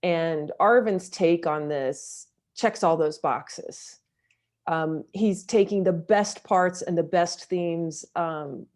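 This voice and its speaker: A woman's voice: fairly low pitch, a little mellow. A woman talking conversationally in an interview as a director and actor, thoughtful and emphatic in how she makes her points. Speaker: audio drama director and actor being interviewed.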